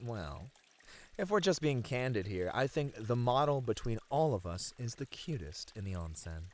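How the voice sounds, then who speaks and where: Man acting a lively, friendly tournament manager. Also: medium pitch, moderate pace, lightly acted. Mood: charming, flirty. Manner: casual, chatty, hesitant filler-laden banter. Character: friendly, slightly flustered male gaming tournament manager. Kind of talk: ASMR roleplay acting.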